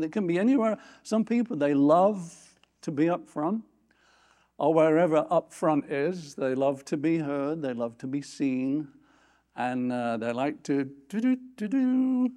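A man preaching a sermon from a church platform, lifting his voice for scripture quotes and dropping low for quiet asides. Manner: animated, rising pitch on scripture quotes. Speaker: pastor.

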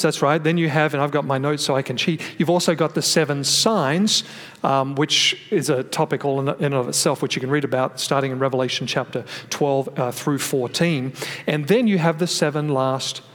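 A man preaching a sermon from his notes, explaining scripture in a teaching manner with frequent restarts, his voice carried by a microphone and speakers. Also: a little high. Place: church hall with a sound system. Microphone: microphone through a PA system. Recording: long reverb of a hall.

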